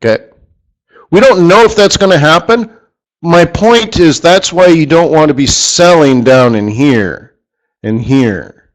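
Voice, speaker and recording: A man teaching a live trading lesson who keeps clearing his throat as his voice gives out. He rambles, corrects himself as he goes and repeats a point for emphasis. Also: a little high, measured pace, low-quality recording. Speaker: forex trading instructor. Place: studio or office, live stream.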